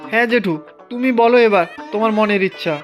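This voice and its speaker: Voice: mid-range voice, brisk, highs cut off, close to an everyday voice. An actor voicing a helpful, eager young family member in a cartoon, in a reassuring, practical way. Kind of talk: cartoon voice acting. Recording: loud music behind the voice.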